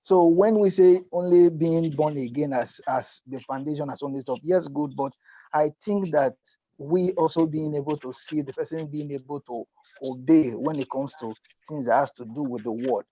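A man's voice: a little high, moderate pace, warm tone, dry recording. A middle-aged man speaking unscripted in an earnest panel discussion, repeating and rephrasing himself and checking that he is understood. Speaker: panelist at a church youth congress. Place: indoor room, video call. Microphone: wired earphone mic. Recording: dull lo-fi video call.

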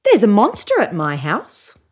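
A woman's voice: medium pitch, huge pitch swings, a little slow, breathy edge, full character voice. Woman voicing an insistent, sing-song little storybook girl. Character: little schoolgirl, sly, earnest, insistent about her monster.

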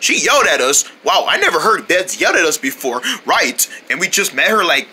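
Woman doing a slangy, animated young male suitor. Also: deep voice, fairly quick, very noisy. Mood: sheepish.

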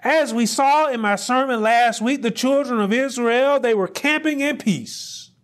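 A middle-aged man delivering a sermon in a small studio, his voice pitched noticeably high. He takes his time, drawing out phrases unhurriedly. His voice swells into loud, high emphasis at the key points. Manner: slow, deliberate preaching that climbs into loud, high emphasis. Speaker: pastor.

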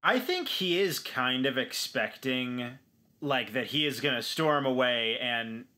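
A man's voice: mid-range voice, lively intonation, measured pace, bright, forceful tone. A man playing a tabletop game, improvising his character's thoughts casually and emphatically, repeating phrases as he goes.

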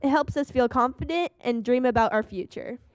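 A young student presenter reading a school report aloud at a desk microphone, with small slips. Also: female voice, a little high, fairly quick, no echo, studio quality.

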